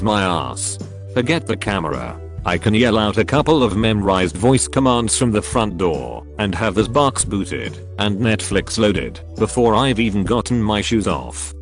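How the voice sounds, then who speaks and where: A man with a low voice reads out a string of short reader stories. His delivery is dry, but his pitch moves around a lot. Faint music plays underneath. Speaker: narrator reading online posts. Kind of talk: scripted narration of reader stories.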